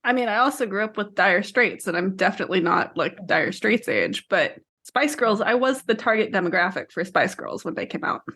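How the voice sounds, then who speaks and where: A woman's voice: mid-range voice, very animated, a little fast, hardly any pauses, fairly bright tone. A woman in her thirties co-hosting a podcast, talking casually through a book discussion. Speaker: podcast co-host.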